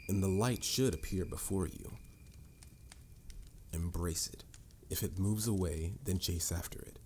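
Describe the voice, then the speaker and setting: A man's voice: deep voice, gravelly, noisy background, heavily acted. A man playing a confident, patient mage mentor in a fantasy audio roleplay, instructive and slightly formal in a theatrical way. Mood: calm, instructive. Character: confident adult male mage mentor, patient, boastful, encouraging. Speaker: voice actor. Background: music.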